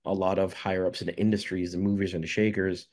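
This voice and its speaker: Casting: very low-voiced young man from jamaica, rambling interview guest. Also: flat delivery, fast talker, a little mellow. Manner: rambling, many fillers and restarts. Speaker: filmmaker guest. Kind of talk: casual interview answers.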